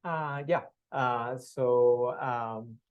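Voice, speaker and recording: A man from Colombia, a patient advocate, answering questions at a live panel in a halting way with many pauses and fillers. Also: mid-range voice, clean tone, narrow, tinny audio. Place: event room with audience.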